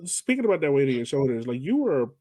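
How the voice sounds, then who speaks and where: An American man hosts a podcast interview in a relaxed, conversational register. His pitch moves around in a very lively way, and the sound is close and dry. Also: fast, dry recording. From Virginia, United States.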